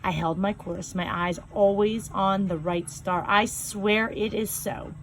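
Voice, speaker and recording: A woman reading a first-person novel aloud in a calm, measured storytelling voice, slowing down on key phrases. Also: mid-range voice, a little hiss or hum, rough recording. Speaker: reader.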